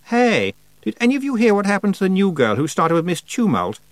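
A man reading aloud, voicing a sharp, condescending teenage girl witch with a needling manner. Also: high voice, huge pitch swings, a little fast, no echo, pitched above the performer's own voice. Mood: cutting. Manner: snappish, needling.

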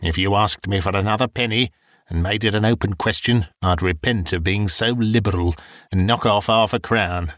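A man voicing a shrewd old male junk dealer who haggles in a slow, wheedling way. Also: lowish voice, a bit bright, no echo, studio quality, close to an everyday voice. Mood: sly.

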